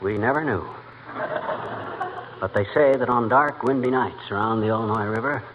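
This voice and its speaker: A man's voice: mid-range voice, normal speed, lightly acted. A man playing a boastful middle-aged husband who rambles through folksy tall sailing yarns in 1940s radio comedy style. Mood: hushed, theatrical. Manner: blustering, rambling, interrupts with folksy grammar. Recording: muffled, hissy old radio broadcast.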